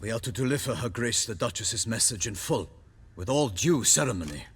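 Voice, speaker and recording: Husky, pompous courtly knight. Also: male voice, mid-range voice, lively intonation, fairly quick. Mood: grandly ceremonial. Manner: pompous, formal, florid. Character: solemn, courtly male knight errant bearing a message. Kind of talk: cinematic fantasy game dialogue.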